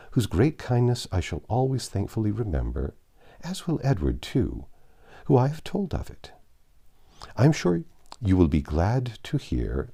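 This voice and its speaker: A man's voice: on the low side, mellow, breathy edge, full character voice. A man voicing an effusive, ingratiating young woman's letter in an audiobook, in a gushing, deferential manner. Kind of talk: audiobook letter read in character.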